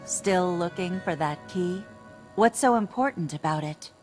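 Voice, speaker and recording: A woman's voice: lowish voice, animated, a little slow, a little mellow, faint music behind. A woman playing a coy, teasing secretary in a video game, playful and easily bribed. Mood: curious.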